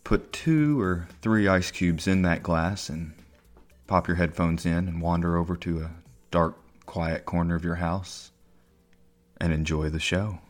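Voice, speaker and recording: Very deep-voiced man, measured delivery. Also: soft background music. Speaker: podcast host and audio drama narrator. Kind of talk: horror story narration and introduction.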